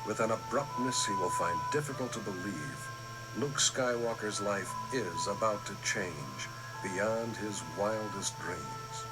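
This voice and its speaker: A grave storyteller played by a man narrates a radio space epic. His lowish voice is deliberate and portentous, with loud music swelling underneath. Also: lightly acted, low-quality recording. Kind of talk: dramatic radio drama narration.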